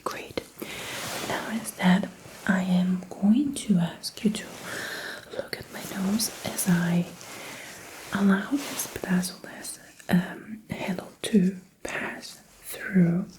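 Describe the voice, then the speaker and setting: Slow, whispering woman in ASMR roleplay. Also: mid-range voice, poor audio. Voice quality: breathy whisper. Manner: gentle, slow, repetitive instructing. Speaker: ASMR performer playing a doctor. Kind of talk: ASMR medical exam roleplay.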